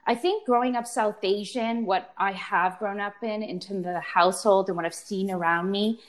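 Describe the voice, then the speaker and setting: A woman's voice: mid-range voice, steady tone, normal speed. A woman speaking as a panel guest in a reflective, casual way, with some ums as she thinks.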